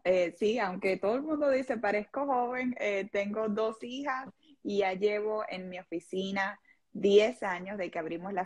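A woman's voice: medium pitch, a little mellow. A woman in her thirties with a Cuban-tinged Miami Spanish accent, a lawyer explaining things earnestly, step by step, as a radio guest. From Venezuela. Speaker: personal injury lawyer.

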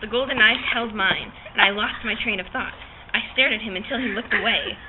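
A young woman with a fairly high voice reads a story aloud theatrically, prone to giggling. The sound is thin and phone-like, with clear outdoor noise around her. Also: a little fast. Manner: theatrical, often breaking into giggles. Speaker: amateur reader. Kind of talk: dramatic read-aloud of a novel, giggly and informal. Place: open-sided park picnic shelter outdoors. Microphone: camcorder across a picnic table. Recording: tinny phone-like audio, noisy outdoor picnic shelter.

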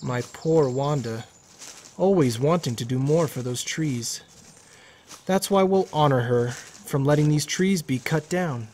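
A man's voice: on the high side, a little mellow, natural voice. A man playing a hazy, earnest stoner tree activist in an audio drama. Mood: earnest, sentimental. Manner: hazy, unhurried. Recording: faint outdoor nature noise. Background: birds, outdoor ambience.

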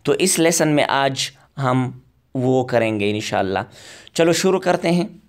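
A man teaching from slides, talking slowly with many hesitations and reading English lines out very slowly. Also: mid-range voice, lively intonation. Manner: slow, halting, many "uh" fillers. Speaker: accent teacher. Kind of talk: slide lecture.

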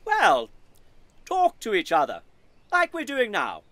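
A woman's voice: a little high, wildly swooping pitch, slow pace, fairly bright tone, no room sound, heavily acted. A woman with a British accent playing an earnest, polite, nosy male neighbour in an audio comedy play.

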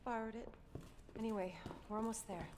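A woman's voice: fairly high pitch, steady tone, fairly quick, natural voice. A young woman acting a cool, guarded, tough injured operative in a video game, with dry delivery. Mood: businesslike. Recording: reverberant, with noisy game ambience.

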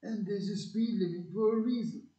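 A man's voice: high voice, moderate pace, warm tone, lightly acted. A man with an English accent playing a flustered, good-natured older family man at the wheel in a community audio play, muddled and easily riled. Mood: indignant. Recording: dull echoey room recording.

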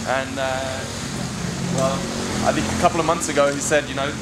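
A man's voice: mid-range voice, fairly even intonation, moderate pace. A man in his twenties with an English accent, casually showing someone around and thinking aloud in a hesitant way. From England. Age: 20s. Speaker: aspiring restaurateur showing a site. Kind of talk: casual walking tour. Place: outdoors in narrow city alleys. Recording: very noisy outdoor location sound. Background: loud street ambience.

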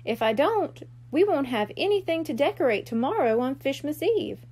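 An easygoing mermaid-cat dad from a storybook, read by a woman in her own register. The delivery is upbeat and matter-of-fact, with lively pitch movement. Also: normal speed. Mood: apologetic. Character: easygoing, good-humoured father cat-mermaid.

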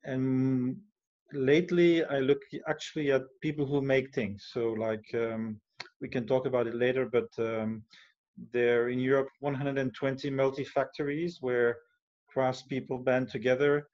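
A man's voice: mid-range voice, steady tone, measured pace, a little mellow. A man from Belgium introducing his work on a video call, thoughtful and hesitant, with many fillers. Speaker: foundation founder. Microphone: headset on video call. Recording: dull lo-fi video call audio.

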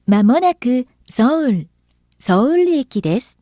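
A woman's voice: sits high, sing-song, extremely slow, dark tone, no echo, studio quality. A woman reading a scripted station announcement in a polite, formal manner. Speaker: subway announcer.

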